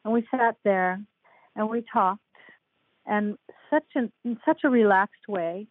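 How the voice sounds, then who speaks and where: A woman's voice: medium pitch, unhurried, no echo. Older woman on a tinny remote line. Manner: enthusiastic, laughs often. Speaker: guest author. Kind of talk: casual podcast chat. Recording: tinny phone-like line, dry.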